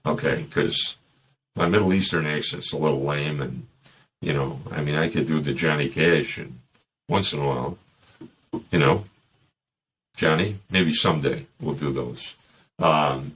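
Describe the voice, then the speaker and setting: Husky low-voiced man with a Chicago accent. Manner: joking asides, loose and rambling. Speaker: host. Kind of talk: casual dating advice talk.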